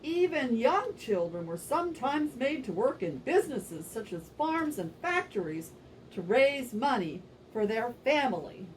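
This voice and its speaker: A woman with a high voice performs scripted puppet show narration for children. Her delivery swings widely in pitch and stays animated. She is picked up from a distance, with faint noise behind her. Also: unhurried.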